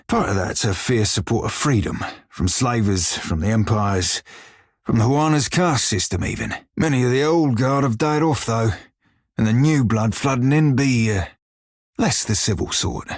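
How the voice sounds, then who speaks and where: Gruff wry pirate deckhand for a game, raspy n lowish, old sailor slang, really dry close sound. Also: male voice, no room sound. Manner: folksy sailor dialect, wry and plainspoken. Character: salty blue-furred pirate shiphunter, former slave, gruff and wry. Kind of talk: video game character dialogue.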